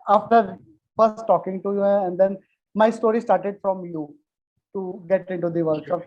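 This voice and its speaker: Man, halting, muffled video call. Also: high-pitched, steady tone, a little mellow. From India. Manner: halting, many fillers and restarts. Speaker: UX manager. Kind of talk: casual self-introduction.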